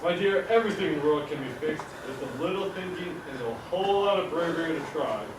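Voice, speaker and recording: A cheerful, folksy inventor dad, acted by a young man in a puppet show. His slightly high voice is playful and encouraging, heard from a distance in a very noisy, echoing hall. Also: animated, fast, lightly acted. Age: young adult. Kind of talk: live puppet show acting.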